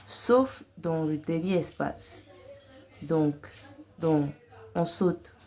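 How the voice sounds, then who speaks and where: Young woman with a low, mellow voice. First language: not French-only, has a self-described slight accent. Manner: informal, girlfriend-to-girlfriend address with colloquial tags. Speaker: crochet tutorial host. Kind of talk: casual chatty tutorial.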